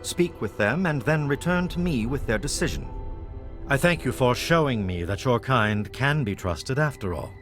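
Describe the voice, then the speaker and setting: A man voicing a formal, solemn leader of a vampire clan in a video game, speaking in measured, courteous phrases. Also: mid-range voice, animated, a bit bright, close to an everyday voice. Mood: measured, serious. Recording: music playing behind the voice.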